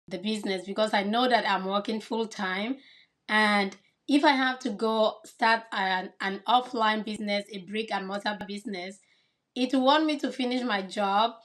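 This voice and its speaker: A woman's voice: mid-range voice, unhurried. Earnest woman guest from Cameroon. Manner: earnest and explanatory. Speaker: guest, kindergarten teacher. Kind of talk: casual interview answers.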